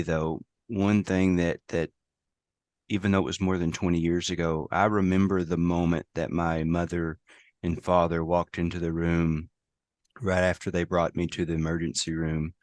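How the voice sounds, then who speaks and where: A man from the United States, a safety professional, talking hoarsely in a casual interview, halting with many restarts. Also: low voice, dry recording, studio quality. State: hoarse from a lost voice.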